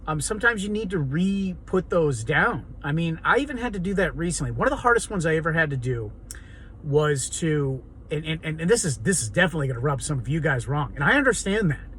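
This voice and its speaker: A man talks in a raw, hoarse rasp, his voice sitting a little high. He rambles earnestly, full of ums and restarts, over faint background noise. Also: animated, fairly quick, fairly bright tone. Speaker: vlogger. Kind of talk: unscripted personal monologue to camera.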